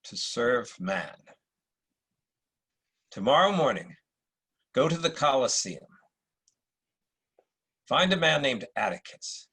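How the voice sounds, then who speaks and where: An actor playing a wise Roman emperor in a drama read-through, speaking deliberately with weighty pauses like an orator. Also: male voice, medium pitch, big pitch swings, bright, forceful tone, slightly rough, heavily acted. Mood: measured, encouraging. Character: wise, measured Roman emperor, philosophical and probing. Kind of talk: dramatic table-read acting.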